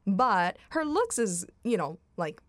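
A woman's voice: mid-range voice, big pitch swings, slow, dry recording. Chatty Japanese woman in a dry studio. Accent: Japanese, with American influence. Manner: chatty, laughs often, many restarts and fillers. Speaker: radio co-host. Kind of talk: casual radio chat. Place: radio studio.

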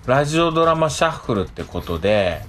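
A man hosting a casual podcast chat, explaining things in a hesitant, halting way with lots of softeners. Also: mid-range voice, very animated, faint music behind. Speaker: radio show host.

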